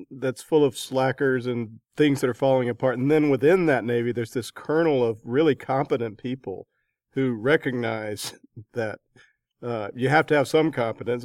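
A man in an interview discussion, offering his reading of a book in a measured, thinking-aloud way. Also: medium pitch, a little mellow, dry recording. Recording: dry but distant from microphone.

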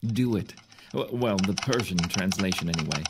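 A man playing a middle-aged general, a fatherly mentor, measured, dry and authoritative, in an audio drama. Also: low-pitched, very noisy, close to an everyday voice. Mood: calm, wry. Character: calm senior general, fatherly mentor to a young officer. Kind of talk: full-cast audio drama acting.

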